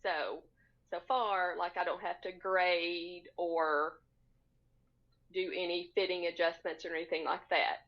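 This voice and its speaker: A woman speaking as a podcast guest in casual conversation, encouraging and explaining things in a measured way over a video call. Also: fairly low pitch, fairly even intonation. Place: indoors on a split-screen video call. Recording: slightly muffled video-call audio.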